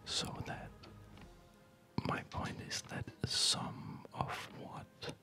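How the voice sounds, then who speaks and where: An ASMR gaming host speaking in a soft unvoiced whisper, rambling in a reflective, hesitant way, close to the microphone. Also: very slow. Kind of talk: ASMR, unscripted rambling over gameplay. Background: music.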